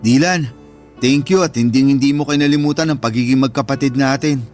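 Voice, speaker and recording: A man's voice: medium pitch, fairly quick, darkish tone, faint music behind, lightly acted. A man playing a caring, forgiving young elder brother in a Filipino radio drama, earnest and tender, with a Filipino accent. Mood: tender, moved.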